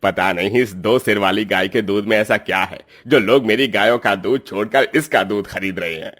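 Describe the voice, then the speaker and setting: A man's voice: fairly low pitch, normal speed, a bit bright. A man voicing a greedy, cruel middle-aged moneylender in a cartoon story, with a harsh, scolding, scheming way of talking.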